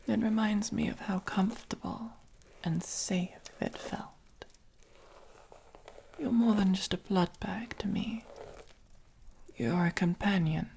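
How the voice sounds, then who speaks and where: Soft dom vampire for ASMR roleplay, female performer, English accent, breathy whisper with a creaky edge, unhurried, possessive yet tender. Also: lowish voice, a little hiss or hum. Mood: soothing, affectionate. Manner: slow, coaxing, possessive. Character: doting, possessive, gently dominant vampire owner of a human pet.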